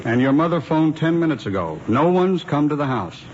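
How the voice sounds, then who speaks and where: An actor playing a firm, skeptical police lieutenant with a dry, probing manner in an old radio drama style. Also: male voice, fairly high pitch, very animated, brisk. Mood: accusing. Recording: dull, noisy old radio recording.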